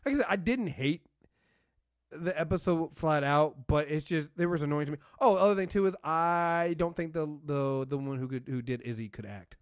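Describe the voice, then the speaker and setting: A man's voice: on the high side, moderate pace, no echo, clean studio sound. A man hosting a podcast, chatting casually and giving opinions emphatically, often circling back to repeat his phrases. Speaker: podcast host reviewing a TV show.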